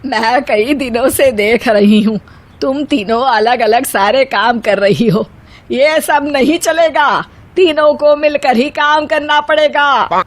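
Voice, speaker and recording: A woman voicing a stern, commanding mother-in-law in a Hindi moral story cartoon, scolding with drawn-out emphasis. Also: sits high, pitched above the performer's own voice. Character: stern bossy Indian mother-in-law scolding her daughters-in-law.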